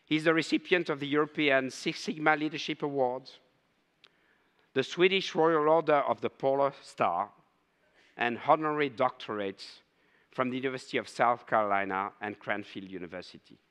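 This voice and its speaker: Bright-voiced older host with hall echo. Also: male voice, mid-range voice, smooth. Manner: measured, ceremonial. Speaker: conference host introducing speaker. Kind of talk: formal speaker introduction. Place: large conference hall stage. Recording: long hall reverb.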